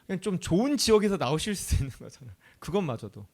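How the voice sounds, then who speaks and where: Animated, high-voiced pastor preaching casually. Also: male voice, fast talker, gravelly. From Chungcheong, South Korea. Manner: conversational and animated, asks the audience questions, laughs easily. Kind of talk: sermon.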